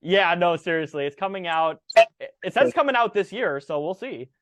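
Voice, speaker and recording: A man in his thirties co-hosting a casual movie review podcast, relaxed and full of fillers as he reads out film facts. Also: high-pitched, moderate pace, no room sound. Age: 30s. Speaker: podcast co-host.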